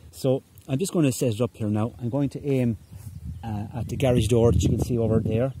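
A middle-aged man from Ireland who coaches hurling and gives a casual demonstration on a large rural lawn, talking in a relaxed way with frequent "okay?" checks and fillers. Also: mid-range voice, a little fast, very noisy. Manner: relaxed, repeats "okay?" often, hesitant fillers. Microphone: phone camera at ground level.

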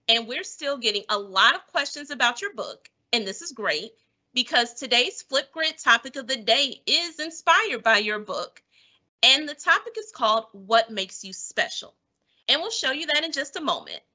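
A woman's voice: fairly high pitch, sharp tone. A woman, around her thirties, hosting a live online event in an encouraging presenter's manner.

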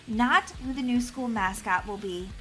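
A patient, firm schoolteacher acted by a woman gives measured instructions in a cartoon skit. Music plays behind her. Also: fairly high pitch, sharp tone, low-quality recording. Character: patient but firm female math teacher.

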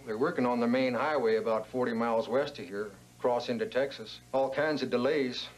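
An actor playing an obliging, folksy, plain-spoken motel clerk in a TV-movie drama. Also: male voice, medium pitch, fairly quick, lightly acted, low-quality recording. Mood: matter-of-fact. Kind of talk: naturalistic TV-movie drama acting.

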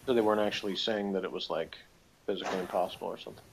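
A man co-hosting a casual review, speaking in a halting, colloquial way. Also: deep voice, fairly even intonation, vocal fry, a little hiss or hum, low-quality recording. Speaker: review co-host. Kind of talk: casual chat.

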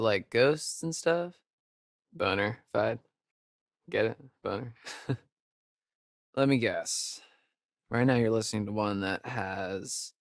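A man's voice: lowish voice, no echo. Wisecracking young American guy, dry recording. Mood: teasing. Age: young adult. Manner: casual, sarcastic, halting asides. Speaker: video game voice actor. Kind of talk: naturalistic video game dialogue.

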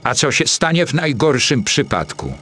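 A man's voice: mid-range voice, sing-song, moderate pace, a bit bright. Grumbling sceptical cartoon handyman acted by a man. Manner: dry, colloquial grumbling. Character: grumbling, sceptical puppet handyman sidekick, crude and resigned. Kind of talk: dubbed comic cartoon dialogue in Polish.

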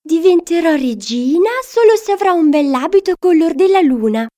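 Woman acting high-pitched dramatic young princess. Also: expressive, normal speed, dark tone. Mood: demanding. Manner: exclaiming, theatrical.

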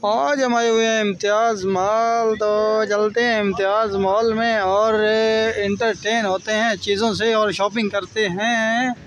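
A man's voice: high voice, little pitch movement, measured pace, hardly any pauses, fairly bright tone. A man in his thirties with a Pakistani accent, chatting casually for a vlog into a handheld phone. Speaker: vlogger. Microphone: handheld phone at arm's length. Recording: muffled phone audio, faint shop noise.

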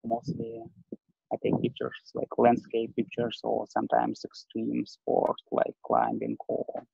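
A man with a Russian accent talks casually on a video call, his words loose and full of "like". His voice is heavily gravelly. The sound is thin and phone-like, with a dry, close feel. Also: medium pitch, sing-song, unhurried, dark tone, dry recording. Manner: loose, filler-heavy speech with many "like"s. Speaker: panelist photographer. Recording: tinny video call audio.

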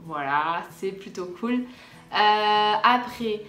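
A woman's voice: mid-range voice, expressive, very slow, fairly bright tone. Echoey young Frenchwoman chatting to viewers. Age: young adult. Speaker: vlogger. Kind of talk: casual storytime chat to viewers. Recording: echoey room with faint background music.

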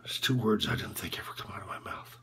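A man performing a dramatic monologue as a bitter, disillusioned basketball coach, speaking in a halting, repetitive, sardonic way with a husky edge. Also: fairly high pitch, very animated, sharp tone, a little hiss or hum, full character voice. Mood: defeated, ashamed. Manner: halting, repetitive, sardonic, swinging from muttering to outbursts. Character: disillusioned, bitter male college basketball coach confessing corruption. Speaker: actor performing a film monologue.

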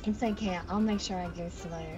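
A woman's voice: on the low side, fairly even intonation, a little fast, highs cut off, music in the background, close to an everyday voice. A woman voicing a polite, agreeable young woman who hesitates and stammers a bit. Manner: polite, hesitant, lots of uhs. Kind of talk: roleplay acting.

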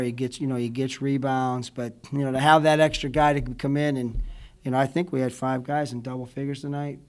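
A man in his fifties, a college basketball head coach, speaking at a postgame press conference from a table mic, rambling and heartfelt with many fillers. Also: medium pitch. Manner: rambling, emotional, many fillers like "you know what I mean". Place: indoor press room before a logo backdrop. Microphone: table mic in front of him.

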